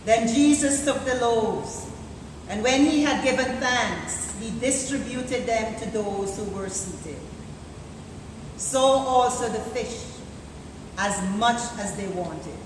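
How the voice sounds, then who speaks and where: A woman reads a liturgical scripture passage at a very slow, solemn pace. Her voice rings through a church with a long reverb. The live recording is distant, with clear background noise. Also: a little high. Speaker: deacon reading scripture in church. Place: large church interior, live service. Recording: echoey church from afar, noisy live recording.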